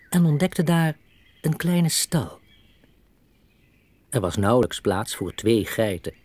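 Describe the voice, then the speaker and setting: A man narrating a scripted children's story as an expressive storyteller. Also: on the low side, breathy edge.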